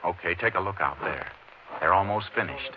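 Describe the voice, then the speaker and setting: Man acting a deep-voiced tough Texas lawman. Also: normal speed, lightly acted. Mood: coolly pressing. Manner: terse, commanding, hard-boiled. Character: tough, cool-headed male Texas Ranger lawman. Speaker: actor in the lead role. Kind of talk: 1950s radio western acting with first-person narration. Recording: dull, noisy old radio recording.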